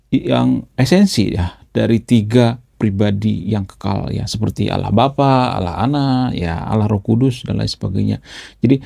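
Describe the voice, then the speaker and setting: Low gravelly man, chatty commentary. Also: warm tone, no echo, studio quality. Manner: chatty, adds "ya" after nearly every phrase. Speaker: YouTube channel host. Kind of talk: casual commentary.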